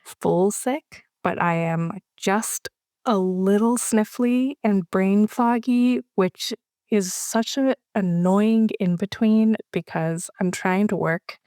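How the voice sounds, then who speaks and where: A woman's voice: mid-range voice, takes it slowly, no echo. Sniffly woman host, dry sound. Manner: wry, relaxed, joking. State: stuffy with a cold. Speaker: podcast host. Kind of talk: casual chat.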